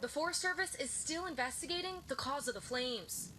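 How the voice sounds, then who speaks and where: A woman field reporter wrapping up a live news report in a brisk manner. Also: high-pitched, expressive, sharp tone, slight background noise, rough recording. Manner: brisk wrap-up delivery.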